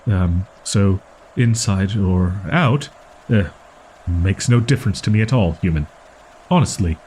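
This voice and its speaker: A man's voice: on the low side, unhurried, darkish tone, a little hiss or hum, close to an everyday voice. A man voicing a proud, easily flustered young wolf-boy in a roleplay audio drama, with stilted archaic diction and defensive stammering. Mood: reluctant, flustered. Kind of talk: roleplay audio drama monologue addressed to listener.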